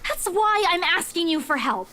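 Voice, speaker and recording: A very high-voiced young woman, stubborn and desperate, pleads her case in a visual novel, played by an actress. She pushes on insistently. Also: very animated, moderate pace, bright, forceful tone, full character voice. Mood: desperate pleading.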